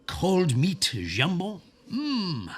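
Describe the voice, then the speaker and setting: An actor playing a wry, talkative Irish novelist in a radio drama, slightly husky and rambling in an unhurried, teasing way. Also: male voice, high voice, wildly swooping pitch, exaggerated voice. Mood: chatty, relishing.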